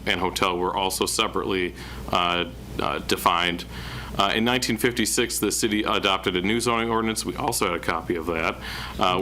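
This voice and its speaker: Low monotone man presenting. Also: a little hiss or hum. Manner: self-described monotone, many uh fillers. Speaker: city planning director. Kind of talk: presenting at a public meeting. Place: council chamber.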